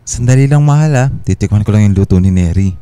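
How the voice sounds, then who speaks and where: Actor playing a mellow, easygoing, oblivious husband. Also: male voice, on the low side, animated, fast talker, smooth, no room sound, lightly acted. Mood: casual. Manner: casual, affectionate, distracted. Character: affable, oblivious husband and father, easily distracted. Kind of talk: radio drama acting.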